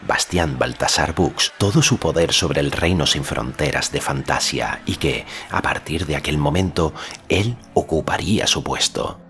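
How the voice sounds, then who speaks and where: Very deep-voiced male audiobook narrator. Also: a bit bright, slight background noise. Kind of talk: scripted literary narration.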